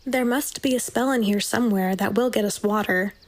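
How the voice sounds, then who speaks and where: An actress playing a brisk, self-assured, scheming young witch in a period audio drama, matter-of-fact in manner. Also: medium pitch, dry recording, lightly acted. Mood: smug, determined.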